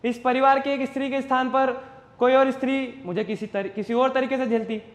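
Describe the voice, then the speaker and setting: A man performing a stage monologue as an uncertain, philosophical common man, speaking deliberately and reflectively straight to the audience. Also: very high-pitched, steady tone. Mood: musing, questioning. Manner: deliberate, reflective, rhetorical, addressing the audience directly. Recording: roomy echo with long reverb.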